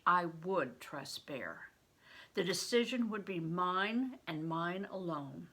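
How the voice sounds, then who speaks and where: An older woman reading a children's novel aloud as expressive storytelling narration. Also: lowish voice, slow, husky.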